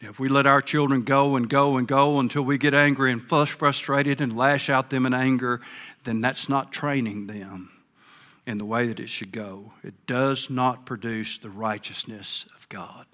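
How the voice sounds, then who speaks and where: A man's voice: mid-range voice, fairly even intonation, normal speed, fairly bright tone. An older man preaching a sermon, earnest and folksy, with a big voice. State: a bit hard of hearing. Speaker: pastor.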